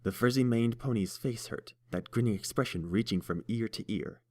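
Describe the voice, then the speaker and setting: Low-voiced male audiobook narrator. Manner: steady, measured storytelling. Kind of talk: scripted fan fiction narration.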